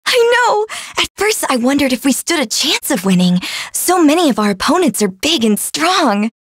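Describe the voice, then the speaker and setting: High, girlish, cheerful fairy. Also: sing-song, moderate pace, a bit bright, no echo, clean studio sound. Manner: bubbly, innocent, eager. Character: girlish, cheerful, sweet fairy little sister. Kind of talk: anime game voice acting.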